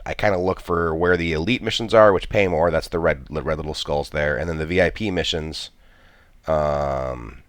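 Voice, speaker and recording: A man's voice: low-pitched, fairly bright tone, clean tone, no echo, studio quality. A man with a stuffy cold, commenting on a strategy game he plays, thinking aloud with many restarts. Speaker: gameplay commentator.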